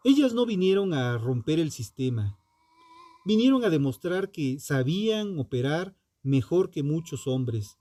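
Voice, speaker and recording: Male true crime host, slightly high-set voice but mellow and dark, solemn, dramatic scripted read in a dry studio sound. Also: big pitch swings, dry recording. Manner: solemn, deliberate, ominous rhetorical delivery.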